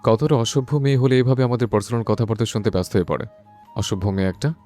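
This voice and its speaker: A man's voice: mid-range voice, steady tone, quick pace, mellow, natural voice. A man playing a young husband in a romantic audio drama, mixing in English words. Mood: angry, scornful. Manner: sprinkles English endearments into speech. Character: irritable young husband, tender lover to his girlfriend, scornful to wife. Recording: music under the voice.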